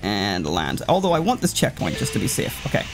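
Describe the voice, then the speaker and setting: A man's voice: on the low side, wildly swooping pitch, brisk, vocal fry, poor audio. A man giving casual, sweary let's play commentary, rambling and often cutting himself off as he reacts to the game. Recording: loud game music behind. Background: video game music.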